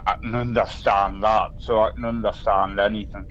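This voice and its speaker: A man's voice: fairly low pitch, little pitch movement, moderate pace, fairly bright tone, slight background noise. A man from Newcastle with a Geordie accent, calling in on his phone and chatting in a rambling, hesitant way. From Newcastle upon Tyne. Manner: rambling, hesitant, lots of ums. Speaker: caller. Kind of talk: casual chat. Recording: dull muffled phone mic.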